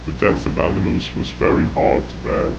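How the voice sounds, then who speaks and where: A man reading scripted horror narration in a grave, measured way, with a gravelly, whispery voice. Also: a little mellow. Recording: dull, noisy lo-fi audio with rumble.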